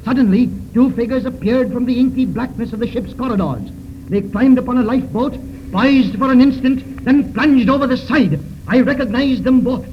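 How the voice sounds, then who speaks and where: A man's voice: very high-pitched, moderate pace. An actor playing a tense, resolute detective who narrates his case theatrically in a 1930s radio mystery serial. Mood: urgent, excited. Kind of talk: 1930s radio drama first-person narration. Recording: muffled, noisy 1930s radio recording.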